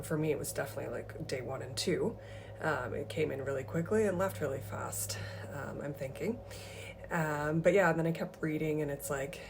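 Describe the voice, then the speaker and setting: A woman's voice: deep voice, slight background noise. A young woman vlogging casually about recovering from an illness, with lots of fillers and likes. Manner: chatty, many fillers and likes. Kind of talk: casual video diary.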